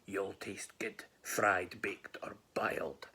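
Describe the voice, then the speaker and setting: A woman's voice: extremely low pitch, fairly even intonation, slow, pushed and bright, husky, heavily acted. A woman voicing a big, fearsome but gullible monster in a Scots storybook, with a Scottish accent. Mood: menacing.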